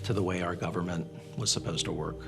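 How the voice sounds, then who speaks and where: Halting, low-voiced American man in interview. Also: fairly even intonation, creaky. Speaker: interviewee, former government attorney. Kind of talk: documentary interview. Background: background music.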